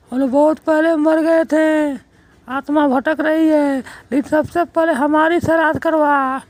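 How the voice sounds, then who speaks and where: A woman's voice: sits high, no room sound, poor audio. A woman voicing an old ghost mother in a cartoon, imperious and threatening as she makes her demands. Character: old female ghost mother, menacing, demanding last rites. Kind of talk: Hindi cartoon story voice acting.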